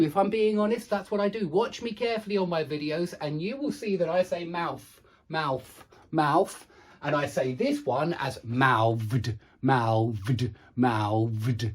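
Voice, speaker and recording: An English teacher with a husky voice gives a pronunciation lesson. The pitch swings around freely while examples are repeated slowly and carefully, in a frank, self-deprecating way. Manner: self-deprecating, frank about own difficulty; careful, repetitive enunciation of examples. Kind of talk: instructional lesson with demonstrated sounds.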